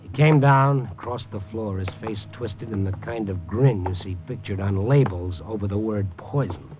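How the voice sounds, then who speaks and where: A hard-boiled reporter played by a man in a muffled 1950 radio broadcast. His voice is low, terse and intent, tough but level-headed. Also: normal speed, music in the background, natural voice. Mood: grim narration. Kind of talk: 1950 radio crime drama acting and narration.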